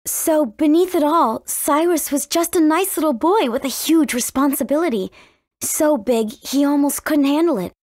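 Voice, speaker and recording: A woman's voice: sits high, normal speed, darkish tone, no room sound, studio quality, natural voice. A woman voicing a spirited, cautious fifteen-year-old warrior princess in a video game, earnest and youthful in her delivery. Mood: wistful. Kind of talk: English video game voice acting.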